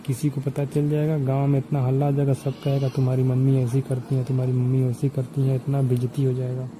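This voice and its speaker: A man's voice: medium pitch, monotone, quick pace, noisy background, lightly acted. A man playing an indignant 25-year-old son scolding his stepmother, insistent and repetitive in a conversational phone drama.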